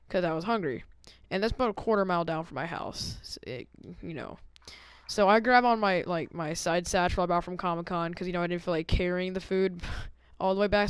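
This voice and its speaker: Clear, dry-sounding young man telling stories. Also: high-pitched, fairly bright tone, no echo. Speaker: gaming video host. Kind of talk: casual storytelling over gameplay.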